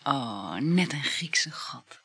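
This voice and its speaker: A woman's voice: a bass voice, expressive, drawn-out words, bright, forceful tone, husky, exaggerated voice. An actress playing a flighty, teasing, sensual woman with a lover in a Dutch radio drama, murmuring playfully and capriciously. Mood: coy, dreamy. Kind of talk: Dutch radio drama acting, intimate dialogue.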